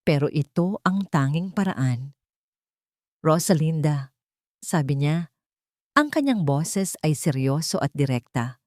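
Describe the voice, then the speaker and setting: A woman narrating a scripted romantic drama in measured, dramatic phrases with pauses. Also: sits low, animated, darkish tone, no room sound, studio quality. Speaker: audio story narrator.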